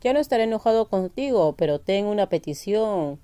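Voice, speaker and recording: Female reader as young man in love, insecure yet feisty, mellow, dry close sound, storybook romance. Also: mid-range voice, animated, brisk, clean tone, no room sound, natural voice. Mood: firm, tender.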